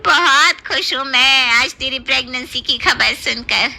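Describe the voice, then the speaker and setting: A woman's voice: sits high, a little slow, creaky, sharp tone, a little hiss or hum, heavily acted. A woman voicing an affectionate elderly Indian aunt in a Hindi cartoon family story, bossy, fussing and tender. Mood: fussing, happy.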